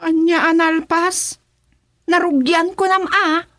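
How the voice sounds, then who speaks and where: A woman's voice: very high-pitched, little pitch movement, takes it slowly, sharp tone, full character voice. A woman with an Ilocano accent playing a nagging, skeptical wife in a radio comedy, asking doubtful, teasing questions.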